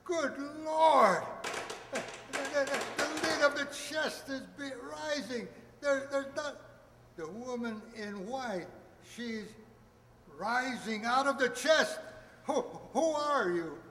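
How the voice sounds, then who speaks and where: A man playing a romantic, easily frightened young gentleman fiancé, theatrical, in a radio play. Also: very high voice, takes it slowly, exaggerated voice. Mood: terrified. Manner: theatrical, stammering, calling out. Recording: echoey reverberant hall, faint noise.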